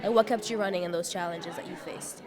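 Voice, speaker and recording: An interviewer asking questions at a conference and giving steady affirming backchannels. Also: mid-range voice, fast talker, over loud music. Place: busy conference hall. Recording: conference crowd chatter behind.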